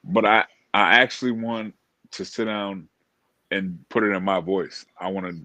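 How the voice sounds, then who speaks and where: An American man gives an informal interview in a low voice. He speaks earnestly and casually, sometimes haltingly, doubling back on his words. The sound is dry, with little room around it. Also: fairly even intonation, sharp tone, dry recording. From Springfield, Massachusetts, USA. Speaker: interview guest, motivational speaker.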